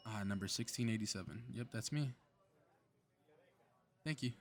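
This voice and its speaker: A man's voice: lowish voice, moderate pace. A man playing a cocky, playful superhero in a flirty ASMR roleplay audio drama, with casual teasing banter. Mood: polite.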